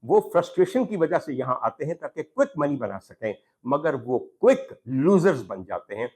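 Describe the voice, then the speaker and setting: A man's voice: a little high, big pitch swings, moderate pace, darkish tone. A man with a Pakistani accent hosting a talk programme, addressing viewers formally in an opinion monologue with rhetorical questions and emphatic repetition. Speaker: talk show host. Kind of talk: opinion monologue to camera on social issues.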